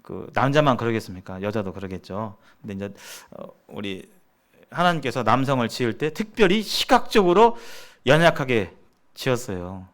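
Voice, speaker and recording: Male preacher, medium pitch with lively, wide swings, emphatic seminar teaching that repeats key phrases. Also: moderate pace. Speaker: preacher leading a church seminar. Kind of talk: sermon-like seminar lecture.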